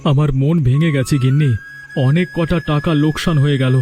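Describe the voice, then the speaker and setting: A man's voice: fairly high pitch, steady tone, a little fast, mellow, soft background music, natural voice. A man voicing a poor, humble male yogurt seller in a cartoon, with a deferential, plaintive manner. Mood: dejected. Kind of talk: cartoon character dialogue.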